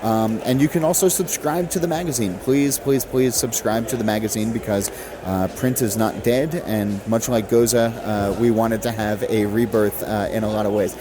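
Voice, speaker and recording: A man's voice: lowish voice, steady tone, normal speed, crowd noise. A man hosting a podcast, chatting casually with a guest and reading plugs at the close. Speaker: podcast host. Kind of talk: casual interview and show sign-off.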